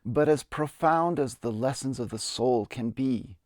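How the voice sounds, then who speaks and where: A man's voice: medium pitch, unhurried, darkish tone, no room sound, studio quality. A man giving a reflective, earnest dramatic reading of his own memoir. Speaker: memoirist narrator. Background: music.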